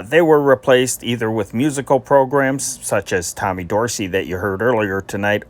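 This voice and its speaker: A man hosting an old-time radio channel, chatting informally and directly to listeners as he introduces programs. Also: on the low side. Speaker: channel host.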